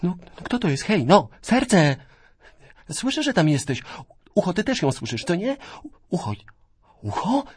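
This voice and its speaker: An actor playing the bewildered, frightened mind of a newborn in a radio play, asking hesitant questions. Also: male voice, sits high, very animated, a little slow, pauses often, breathy edge, no echo, clean studio sound, full character voice. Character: newborn's bewildered consciousness, male, fearful.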